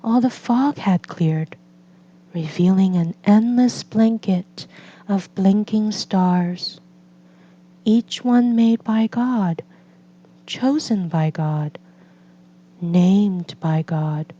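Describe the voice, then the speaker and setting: A woman narrates a children's picture book at a very slow pace. Her voice is mellow and mid-pitched, with gentle, deliberate phrasing. The recording is slightly dull and lo-fi. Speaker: read-aloud storyteller for children and English learners.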